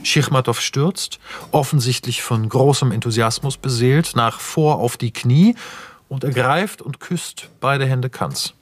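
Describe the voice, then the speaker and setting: A man narrating a radio play, reading out scripted stage directions in a measured, matter-of-fact way. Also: mid-range voice, husky, no echo.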